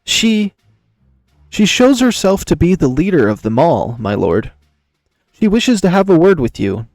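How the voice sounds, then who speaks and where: A man's voice: on the high side, sing-song, unhurried, a little mellow, dry recording, soft background music. A man voicing a flustered, lovestruck young beast knight who stammers and hesitates deferentially in a visual novel.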